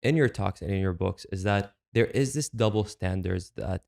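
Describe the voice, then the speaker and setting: A man hosting an interview, introducing his guest and asking polite, measured questions. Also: low-pitched, vocal fry, darkish tone. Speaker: podcast host. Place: studio in Canada.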